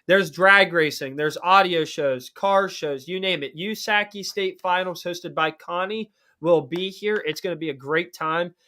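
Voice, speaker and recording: A man hosting a casual livestream, hyped and rambling through giveaway and show announcements. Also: high voice. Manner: hyped, shouty openings, fast rambling announcements. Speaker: livestream host.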